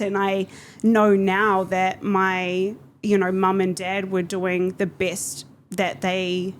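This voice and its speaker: A woman's voice: lowish voice, slight background noise. A woman with a New Zealand accent telling her personal story reflectively as a podcast guest. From Auckland, New Zealand. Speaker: podcast guest, business coach.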